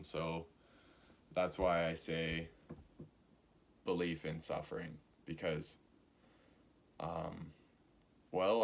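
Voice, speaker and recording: A man in his early twenties giving a spiritual talk, slow and halting, with many pauses and fillers. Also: low-pitched, vocal fry. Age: 20s. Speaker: spiritual teacher.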